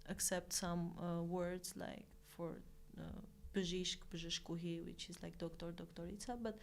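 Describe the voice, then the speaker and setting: Armenian-accented young woman, chatty and halting. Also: lowish voice, level tone, a little hiss or hum. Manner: chatty, halting with frequent fillers and restarts. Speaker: guest. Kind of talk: casual conversational interview.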